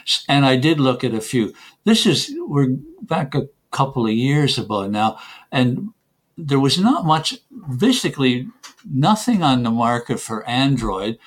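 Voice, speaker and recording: A middle-aged man who grew up in Canada talks through his answers in a relaxed interview. He often stops, repeats a word and starts again, and drops in small chuckles between points. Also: mid-range voice, a little slow. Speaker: software developer guest.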